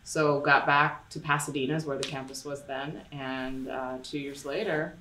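Low, chatty actress in her forties from LA. Roomy, slightly distant studio sound. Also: moderate pace, a little hiss or hum. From Los Angeles, USA. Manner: chatty, animated, jumps into playful voices. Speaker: actress guest. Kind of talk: casual interview chat. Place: dark studio room. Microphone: studio condenser mic on stand.

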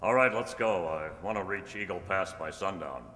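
A man's voice: low voice, normal speed, pushed and bright. A man playing a kindly, commanding masked cowboy hero in an old-time radio western, on a hall stage. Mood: calm, kindly. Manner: declarative, reassuring, commanding. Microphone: stand microphone. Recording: echoey hall stage recording.

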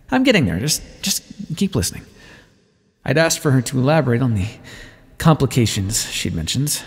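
A man plays a brooding vampire who once led rebels, recounting his past in an audio roleplay. The voice is creaky and husky, with dry, bitter sarcasm in a measured, confiding delivery. It rings in a long reverb. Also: medium pitch, full character voice. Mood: wry, bitter. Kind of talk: ASMR roleplay monologue.

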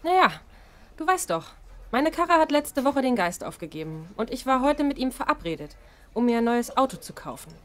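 A woman's voice: high voice, sing-song, moderate pace. A woman playing a nosy, feisty young bartender with a blunt, gossipy, colloquial way of talking. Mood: annoyed. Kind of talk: audio drama acting.

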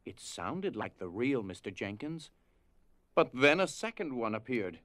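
A man's voice: medium pitch, very animated, measured pace, a bit bright, no echo. A man narrating a scripted dramatic story. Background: music.

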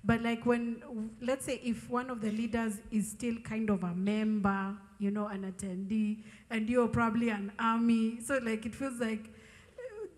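Woman, higher voice, sharing candid testimony at a church gathering, emphatic and informal, reverberant PA sound. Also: normal speed. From Ethiopian raised in Kenya. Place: large open-sided tent gathering. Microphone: handheld microphone.

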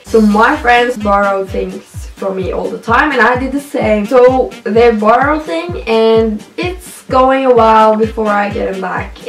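A woman's voice: a little high, reverberant. Young woman with a Norwegian accent. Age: young adult. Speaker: guest YouTuber. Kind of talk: casual vlog chat. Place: indoor room at home.

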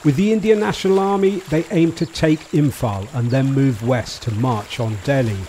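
A man's voice: medium pitch, normal speed. A man reading scripted documentary narration. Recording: faint background ambient noise.

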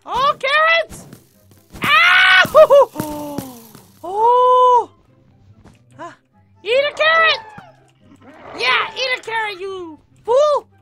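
Woman playing an excitable, very high-pitched game heroine. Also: extremely slow, pauses often, faint music behind, full character voice. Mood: excited, indignant. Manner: exclamatory, repeats phrases, squeals and mutters. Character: Ballora, excitable, easily flustered cartoonish young heroine in battle. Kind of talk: Minecraft roleplay gameplay acting.

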